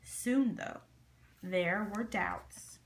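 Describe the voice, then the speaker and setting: A woman reading a children's story aloud in an expressive, deliberate, dramatic way. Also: mid-range voice, vocal fry. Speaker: storybook reader.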